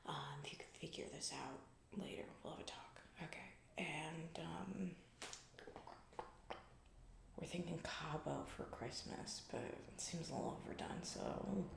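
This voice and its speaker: A woman playing a self-absorbed, distracted female CEO in a comedic ASMR roleplay, rambling flippantly in a breathy whisper with casual asides. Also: deep voice, echoey room, exaggerated voice. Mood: bored, distracted.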